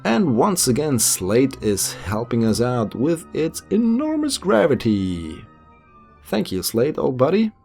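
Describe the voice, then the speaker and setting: A man's voice: mid-range voice, huge pitch swings, slow, gravelly, a little mellow. A young man with a German accent, chatty and self-deprecating, narrating his space game as commentator over his footage. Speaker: gameplay commentator. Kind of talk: casual gameplay commentary. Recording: voice-over with faint background music.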